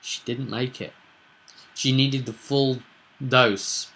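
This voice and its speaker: A man casually recapping a tabletop roleplay session as game master, telling it in a halting, emphatic way with dramatic pauses. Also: medium pitch, steady tone, fairly bright tone.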